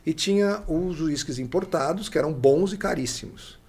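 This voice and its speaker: A Brazilian man in his sixties with a São Paulo accent and a husky, fairly high voice. He tells stories in an animated, conversational way as a guest, stressing points by repeating them. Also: normal speed. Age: 60s. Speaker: podcast guest.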